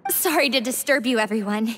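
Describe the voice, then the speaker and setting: Woman acting a polite high-voiced young prisoner. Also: fairly quick, fairly bright tone, soft background music, natural voice. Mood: polite, apologetic. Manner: courteous, tentative. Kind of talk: English video game voice acting.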